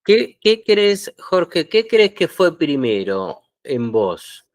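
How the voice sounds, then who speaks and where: Slow-talking Argentine interviewer, dry sound. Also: male voice, a little high, sing-song, no room sound. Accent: Argentine Spanish. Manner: unhurried, probing questions. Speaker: interviewer host. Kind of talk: interview questions.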